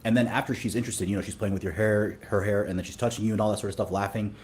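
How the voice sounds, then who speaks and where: Fast-talking deep-voiced man. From Sudan. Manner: fast, relaxed, many fillers and restarts. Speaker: dating coach. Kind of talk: casual interview talk.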